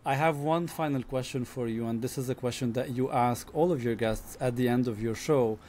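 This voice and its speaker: A man hosting a podcast, welcoming his guest and asking friendly, measured questions. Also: mid-range voice, darkish tone, a little hiss or hum. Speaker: podcast host. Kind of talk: interview hosting.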